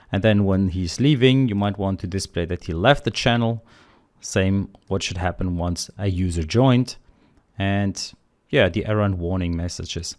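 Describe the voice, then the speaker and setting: A man teaching programming in a screencast tutorial, calmly explaining the code step by step in a relaxed, conversational way. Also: medium pitch. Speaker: programming instructor.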